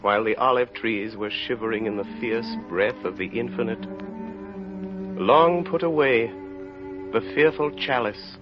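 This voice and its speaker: Casting: solemn male storyteller for old radio drama, oratorical, mid-pitched, muffled recording with clear musical underscore. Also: a little slow, over music, natural voice. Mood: grave, dramatic. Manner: grave, oratorical. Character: solemn literary narrator of a tragic tale. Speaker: narrator. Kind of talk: scripted narration, vintage radio drama.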